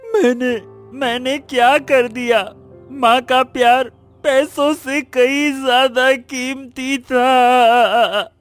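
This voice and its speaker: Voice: high-pitched, fairly even intonation, very slow, faint music behind. A performer voicing Raju, an impulsive, emotional young son in a cartoon moral story, often repeating words. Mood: remorseful. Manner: stammering, repeats words when anxious. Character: Raju, greedy boastful young man turned desperate, remorseful son.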